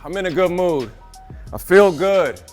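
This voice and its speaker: A man's voice: high voice, expressive, measured pace, loud music behind the voice. A man hosting a cooking show as chef, talking in a hyped, slangy, friendly way. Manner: hyped, slangy, calls guest baby and bro. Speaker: host and chef. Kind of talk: upbeat show hosting, casual chat.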